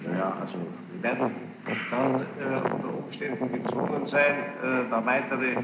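A man formally questioning a witness as a judge, probing and insistent, with halting phrasing and a gravelly voice. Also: medium pitch. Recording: noisy, muffled archival tape, echoey hall.

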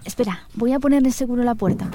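A woman's voice: on the high side, fast talker, noisy background, close to an everyday voice. An actress with an accent from Spain playing a coy, pensive woman with her lover in a radio drama. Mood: playful. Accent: Spanish, from Spain.

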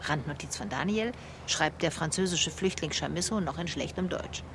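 A nosy lady detective in a radio play, voice on the low side, faint ambience. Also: normal speed, noisy background. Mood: absorbed, reading. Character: persistent, inquisitive female private detective. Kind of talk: radio drama dialogue and reading aloud.

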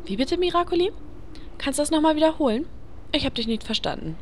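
A woman's voice: high-pitched, lively intonation, fast, a little hiss or hum. An actress playing a bossy, exasperated guild adventurer woman in a comedic fantasy radio play, commanding and sarcastic.

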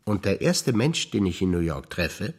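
A man's voice: deep voice, big pitch swings, normal speed, a little mellow, slightly rough. An older man, a stage actor, telling wry memories in a theatre monologue.

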